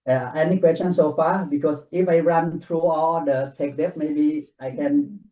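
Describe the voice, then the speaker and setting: Relaxed man explaining in an echoey room. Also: a little high, a little slow. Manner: relaxed, explains step by step in non-native English. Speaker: technical consultant presenting to a client team. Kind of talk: casual work meeting talk.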